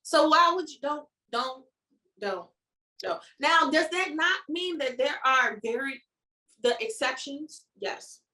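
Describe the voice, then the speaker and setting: A woman's voice: high-pitched, animated, slow, sharp tone. A woman co-hosting a podcast in casual chat, agreeing and emphatic, repeating words for stress. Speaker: podcast co-host. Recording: roomy, distant from the mic.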